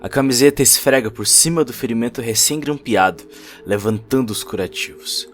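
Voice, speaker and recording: A man's voice: medium pitch, moderate pace. A man with a Brazilian accent narrating a cyberpunk short story as a scripted, dramatic audio production. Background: faint music.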